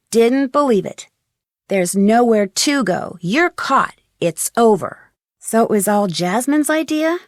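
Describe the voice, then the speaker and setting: A woman's voice: medium pitch, dry recording, studio quality. An actress playing a polite, determined young woman detective in a video game, speaking in an earnest way. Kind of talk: acted video game dialogue lines.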